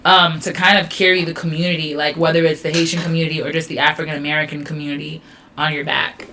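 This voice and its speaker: Woman, podcast host, bright sharp tone, casual slangy chat. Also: deep voice, level tone, quick pace, slight background noise. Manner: chatty, encouraging, slangy. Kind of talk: casual interview hosting.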